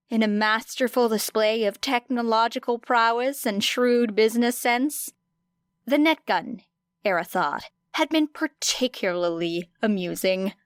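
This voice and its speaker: A woman's voice: on the high side, a bit bright, smooth, no echo. Woman narrating a story, very dry sound. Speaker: audiobook narrator. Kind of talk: scripted fan fiction narration.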